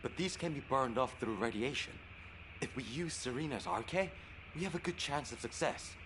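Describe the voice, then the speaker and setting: A man voicing an earnest scientist in a video game, explaining his treatment in a calm, measured way. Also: medium pitch, lightly acted. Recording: clear background noise.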